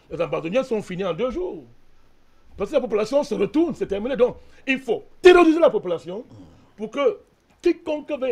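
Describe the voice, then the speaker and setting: Argumentative high-voiced man from Cameroon. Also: a little mellow. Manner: insistent, argumentative, repeats a direct address to the host. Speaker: debate panelist. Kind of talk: heated political debate.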